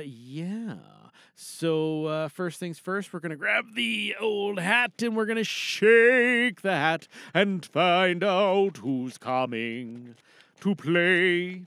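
A man's voice: a little high, fairly bright tone, dry recording, studio quality. A man hosting a podcast with casual, chatty, playful and theatrical talk. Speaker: podcast host. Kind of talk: casual, chatty show introduction.

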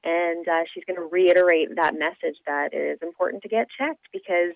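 A woman's voice: lowish voice, fairly quick, creaky, dry recording. Upbeat woman on a dull phone line. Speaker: radio show personality being interviewed as charity event organizer. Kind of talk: conversational phone interview. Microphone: telephone.

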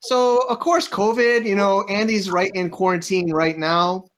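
A man with a somewhat high voice moderates an online panel. He speaks casually and off the cuff, in a chatty, affirming manner. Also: level tone, measured pace, fairly bright tone.

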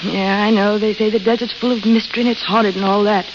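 A woman's voice: mid-range voice, steady tone, fast, close to an everyday voice. Woman acting young desert waitress, frank n dreamy, eager, old radio play, muffled hissy sound. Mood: dreamy, longing. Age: young adult. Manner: plain-spoken, eager, wistful. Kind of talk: 1930s-40s radio drama acting.

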